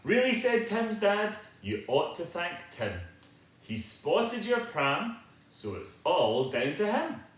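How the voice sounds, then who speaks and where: A man reads a picture book to children in a high, swooping voice. His delivery is slow and theatrical, with a sing-song lilt that invites listeners to join in. The room around him rings with heavy echo. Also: sing-song. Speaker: storybook reader. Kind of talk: animated children's picture-book read-aloud. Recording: very echoey, reverberant room.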